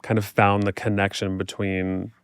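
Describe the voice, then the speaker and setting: A man's voice: lowish voice, monotone, normal speed, clean tone, no room sound. American guy telling personal stories, informal and candid, clean resonant voice, really dry close recording. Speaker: podcast guest, fitness instructor. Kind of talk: casual chat, personal storytelling.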